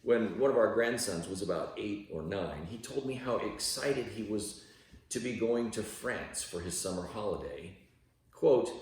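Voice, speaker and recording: Deep-voiced man reading in a very echoey room. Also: gravelly. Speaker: reader of a devotional audiobook. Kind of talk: read-aloud devotional narration. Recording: very echoey room, distant from microphone.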